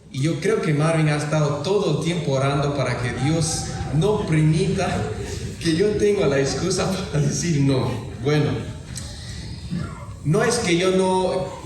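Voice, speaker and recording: A man whose native language is German preaches and tells stories in a halting, deliberate way, as if working in a second language. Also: fairly high pitch, far from the mic. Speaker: guest preacher at a church gathering. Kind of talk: sermon with storytelling. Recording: very echoey hall, noticeable background noise.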